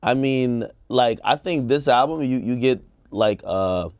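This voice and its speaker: A man's voice: mid-range voice, unhurried, a little mellow, smooth, dry recording, clean studio sound. A man co-hosting a casual music discussion show, hedging and qualifying his opinions as he talks. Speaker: talk show co-host.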